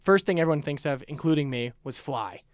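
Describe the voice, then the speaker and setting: Man, fairly high voice, casual comic storyteller, animated delivery, close dry sound. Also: a little fast, no echo. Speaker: podcast guest. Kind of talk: casual comedic chat.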